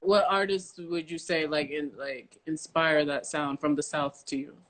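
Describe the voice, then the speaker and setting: A woman's voice: deep voice, steady tone, unhurried, poor audio. A young woman speaks as a podcast interviewer. She keeps things casual and chatty, putting questions to her guest in everyday conversational language.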